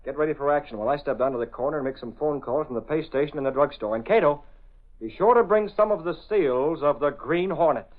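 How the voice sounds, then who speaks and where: Commanding publisher hero, male actor, decisive, slightly high voice, muffled vintage radio sound. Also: quick pace, slight background noise, natural voice. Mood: amused, commanding. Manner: decisive, businesslike. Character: confident, commanding male newspaper publisher, secretly a vigilante. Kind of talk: old-time radio drama acting.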